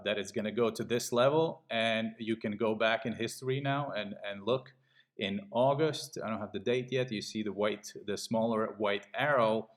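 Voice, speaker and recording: A middle-aged man from Latvia giving a casual sales pitch while walking through trading charts. He talks in a pushy way and keeps checking in with "okay?". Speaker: trading channel host.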